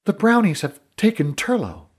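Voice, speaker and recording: Guy doing a fiery young fantasy princess, high pitched, indignant, pushy. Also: big pitch swings, moderate pace, slightly rough, exaggerated voice. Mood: alarmed. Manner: indignant, forceful.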